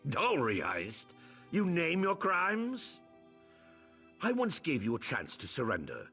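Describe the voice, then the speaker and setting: Stern lawman, dramatically swooping pitch. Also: huge pitch swings, takes it slowly, bright, forceful tone, soft background music, exaggerated voice. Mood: indignant. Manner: formal, authoritative, clipped. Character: stern, haughty male chief inspector, unyielding lawman. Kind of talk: scripted video game dialogue.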